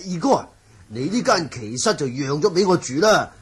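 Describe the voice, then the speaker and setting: A man's voice: a little high, very animated, normal speed, slightly rough, exaggerated voice. An actor with a Hong Kong Cantonese accent playing an exuberant older swordsman obsessed with calligraphy, in a theatrical, exclaiming radio drama style. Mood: elated. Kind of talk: 1980 radio drama acting. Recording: noisy old radio drama recording.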